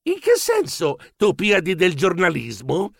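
Timid male mouse journalist, acted by a narrator, flustered, high-voiced, excitable, children's audiobook, dry recording. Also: unhurried, creaky, no echo, exaggerated voice. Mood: flustered, startled. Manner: stammering, squeaking when startled.

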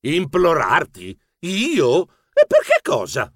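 Timid male mouse journalist, acted by a narrator, flustered, high-voiced, excitable, children's audiobook, dry recording. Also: measured pace, creaky, no echo, exaggerated voice. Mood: flustered, startled. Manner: stammering, squeaking when startled.

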